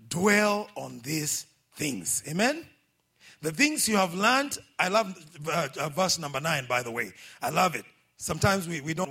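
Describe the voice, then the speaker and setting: Lively Kenyan-accented man preaching. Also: high voice, husky. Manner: lively, exhorting, calls out for amens, quotes scripture. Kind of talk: sermon.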